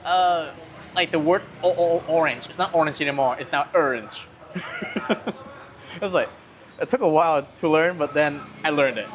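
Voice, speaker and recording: Guy, talks fast and trips over words, slightly high voice, steady noise behind. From Vietnam. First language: Vietnamese. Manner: quick, stumbling, broken grammar, chuckles. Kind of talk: casual interview.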